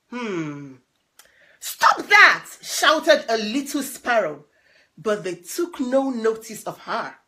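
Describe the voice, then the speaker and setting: A woman reading a children's picture book aloud in an expressive, dramatic way, with little asides to the listeners. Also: on the high side. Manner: dramatic, animated, with drawn-out repeated words.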